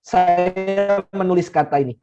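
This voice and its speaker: Slow-speaking Indonesian priest, mellow voice. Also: male voice, high voice, soft background music. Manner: unhurried, repeats key phrases for emphasis, chuckles. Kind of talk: teaching talk to a congregation. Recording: slightly dull lo-fi recording.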